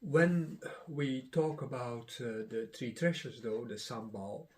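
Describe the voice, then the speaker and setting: A middle-aged man whose first language is Dutch gives a casual lesson, pausing often with filler sounds. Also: medium pitch, darkish tone. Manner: rambling, many filler sounds and restarts. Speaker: Taoist teacher and channel host.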